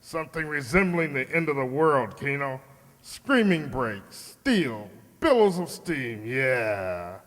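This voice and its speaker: A man's voice: mid-range voice, big pitch swings, slow, fairly bright tone. A man playing a cold, scheming crime boss in a radio drama, pompous, menacing and imperious. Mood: smug, menacing. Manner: pompous, menacing, theatrical delivery. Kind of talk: old-time radio drama acting. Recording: long hollow reverb.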